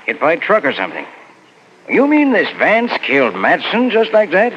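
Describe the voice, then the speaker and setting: A man's voice: sits high, wildly swooping pitch, normal speed. An actor playing a blunt, suspicious, incredulous lawman with an emphatic, questioning manner in a 1940s radio horror drama. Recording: dull old radio recording, faint noise.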